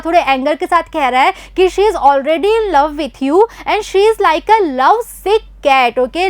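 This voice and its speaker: A young woman teaches an English play, her voice high and her overall pace unhurried. She reads each line slowly and then explains it quickly, switching between Hindi and English. The sound is dry and close, with no room around it. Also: no room sound.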